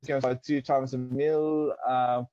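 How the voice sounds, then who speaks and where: A man's voice: medium pitch, flat delivery, slow pace, mellow, no room sound, muffled recording. A young man from Pakistan whose first language is Dari, telling his story as an interview guest, hesitant with many fillers. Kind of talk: reflective personal storytelling.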